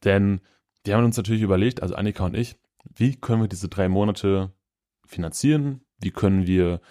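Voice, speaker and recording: A man's voice: on the low side, brisk, warm tone. A man in his thirties from Germany, hosting his own podcast in a loose, upbeat chat from his office, with plenty of fillers. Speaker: podcast host. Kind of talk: casual solo podcast chat.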